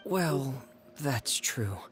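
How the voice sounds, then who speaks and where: An actor playing a shy, pensive teenage boy in a video game, quiet and tentative in his delivery. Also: medium pitch, expressive, very slow, soft background music. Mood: hesitant, uneasy.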